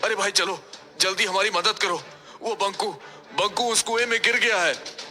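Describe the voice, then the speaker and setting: A man's voice: high-pitched, moderate pace, bright, forceful tone. A man voicing a worried, exasperated village father in a cartoon story, with an earnest, anxious manner. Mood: exasperated alarm.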